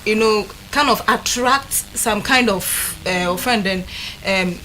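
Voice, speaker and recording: A woman's voice: mid-range voice, slow, pushed and bright, poor audio. A Ghanaian-accented woman panelist in casual discussion, switching languages and searching for words. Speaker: talk show panelist. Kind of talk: casual discussion, mixing English with a local language. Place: studio. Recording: faint noise in studio recording.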